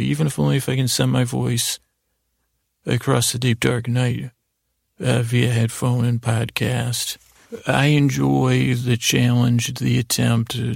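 A man hosting a sleep podcast, rambling softly and hesitantly through a lulling bedtime monologue, often repeating himself and trailing off. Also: mid-range voice, creaky, no room sound, studio quality. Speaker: podcast host.

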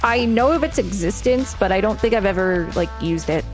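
A woman's voice: medium pitch, lively intonation, brisk, soft background music. A woman co-hosting a podcast, reading an encyclopedia article aloud. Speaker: podcast co-host and reader.

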